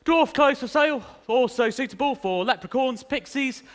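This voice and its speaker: A man's voice: extremely high pitch, moderate pace. A man playing a cheeky street seller calling out his wares in a stage pantomime. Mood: hawking, cheeky.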